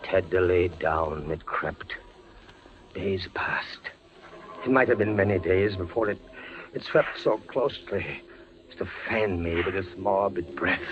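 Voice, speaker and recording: An actor playing a terrified, feverish prisoner, a captain condemned to torture, with intense theatrical 1940s radio delivery. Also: male voice, deep voice. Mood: haunted narration. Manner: intense, theatrical, breathy hushed dread breaking into cries. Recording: muffled, noisy old radio recording.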